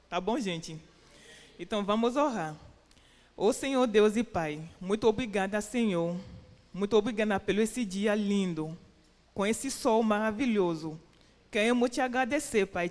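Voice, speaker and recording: A woman's voice: mid-range voice, darkish tone, big-room sound. Woman preaching. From Benin. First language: French. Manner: earnest, with hesitant restarts and fillers. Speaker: missionary preacher. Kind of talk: sermon and prayer. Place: church sanctuary with a PA.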